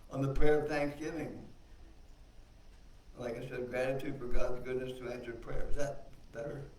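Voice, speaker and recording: Soft-voiced man speaking in a roomy space. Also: mid-range voice, little pitch movement, normal speed. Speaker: guest speaker at a church service. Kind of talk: informal sermon teaching from notes and scripture. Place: indoor service room, mic some way off. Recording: distant mic, roomy echo, faint noise.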